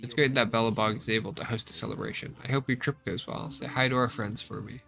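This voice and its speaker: A man's voice: medium pitch, steady tone, fast, vocal fry, bright, forceful tone. A man voicing a reserved, weary young male traveller from a space video game, subdued and serious. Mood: weary, withdrawn.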